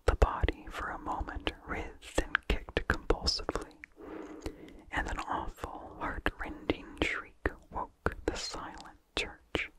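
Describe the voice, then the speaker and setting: An ASMR host reading in a breathy, unvoiced whisper, hushed and deliberate, with incidental mouth sounds close to the microphone. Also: poor audio. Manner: slow, deliberate, hushed delivery with long pauses. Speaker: ASMR host reading a vintage horror story.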